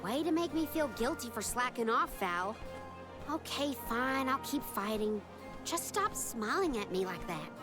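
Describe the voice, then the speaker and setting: A woman voicing a sassy, easygoing young adventurer in a fantasy video game, with a casual, wry, expressive delivery. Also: on the high side, unhurried. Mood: wry, grudging. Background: game music.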